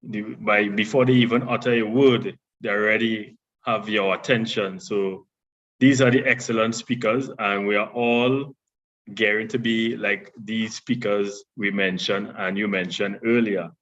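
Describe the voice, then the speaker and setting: Deep-voiced man teaching public speaking. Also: fairly even intonation, unhurried, fairly bright tone, muffled recording. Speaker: workshop presenter. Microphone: online session audio.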